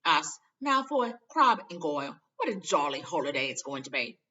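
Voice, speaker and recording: A man's voice: a little high, very animated, moderate pace, bright, forceful tone, dull audio. A woman voicing a wry, sarcastic, knowing schoolboy in a storybook reading.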